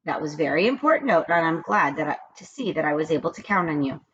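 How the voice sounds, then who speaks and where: A woman reading as a brisk, bossy female schoolteacher in a storybook, with a stern and insistent manner. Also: low-pitched, animated, fairly bright tone, slightly rough, exaggerated voice. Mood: pleased. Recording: dull lo-fi recording with background noise.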